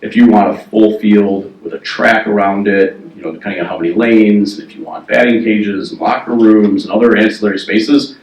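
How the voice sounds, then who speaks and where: A man working as a facilities planning consultant, giving a measured, explanatory presentation at a meeting with frequent ums and uhs. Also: lowish voice, fairly even intonation, a little mellow. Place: meeting room. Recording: echoey room, voice from far off the mic.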